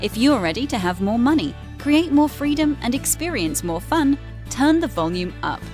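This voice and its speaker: A woman's voice: high-pitched, sing-song, moderate pace, darkish tone, faint music behind. A woman reading a polished, upbeat scripted intro for a podcast. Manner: polished, upbeat promo delivery. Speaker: show intro announcer.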